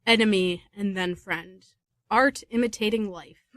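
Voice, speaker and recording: Unhurried, bright, wry young woman played by an actress. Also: medium pitch, dry recording, studio quality, natural voice. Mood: wry, reluctant. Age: young adult. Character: Lily, wry, skeptical, self-doubting young woman. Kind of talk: modern radio play acting.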